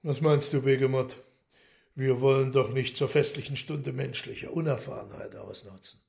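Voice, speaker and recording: An actor playing a commanding, coolly mocking devil in a German radio play, with measured, formal, ironic speech. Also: male voice, medium pitch, steady tone, gravelly, a little mellow. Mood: brusque, wry.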